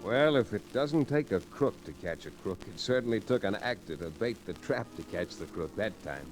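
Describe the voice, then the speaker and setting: An actor playing an easygoing, wry cowboy hero who also narrates an old-time radio Western. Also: male voice, on the low side, lively intonation, moderate pace, music in the background, natural voice, poor audio. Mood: storytelling. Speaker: lead character and narrator. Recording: old radio recording with faint noise.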